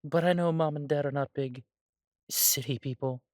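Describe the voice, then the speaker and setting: A man playing a warm, humble elf brother in a scripted fantasy audio roleplay, speaking in measured, slightly formal phrases. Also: on the high side, fairly even intonation, no room sound, studio quality, natural voice. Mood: easygoing. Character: warm, humble elf brother without magic, devoted to family.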